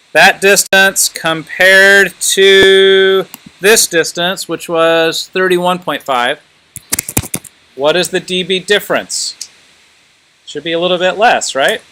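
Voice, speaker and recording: A man's voice: high voice, slow, pauses often, bright, forceful tone, clean tone, low-quality recording. A man teaching a class informally, thinking aloud and prodding students with questions.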